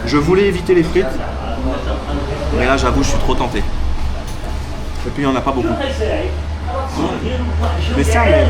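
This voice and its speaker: A man's voice: on the high side, low-quality recording. A man chatting casually to the camera in a travel vlog, enthusiastic and full of fillers, at a busy local eatery. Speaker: travel vlogger. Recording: street crowd noise behind.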